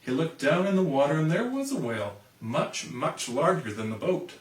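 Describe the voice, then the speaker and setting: A man reading a children's storybook aloud as an animated narrator. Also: a little high, moderate pace. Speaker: storybook reader. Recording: roomy, distant microphone.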